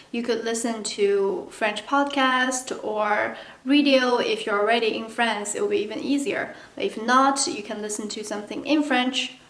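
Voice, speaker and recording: A woman's voice: on the high side, fairly bright tone. A woman from China with a Chinese accent, native speaker of Mandarin, chatting candidly to camera as a language-learning vlogger. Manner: chatty and candid, acts out playful asides. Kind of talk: casual talk to camera. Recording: echoey room.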